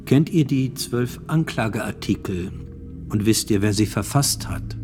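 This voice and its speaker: Court interrogator, radio drama, deep voice, sober, formal, probing, music behind. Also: male voice, animated, measured pace. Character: male court examiner questioning a witness, sober, formal.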